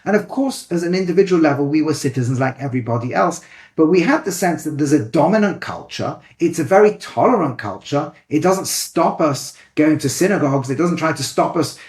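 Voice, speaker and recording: A man with a light Manchester accent, a rabbi and educator, explaining his views in a thoughtful, animated conversational way. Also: fairly high pitch, a little fast, echoey room. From England.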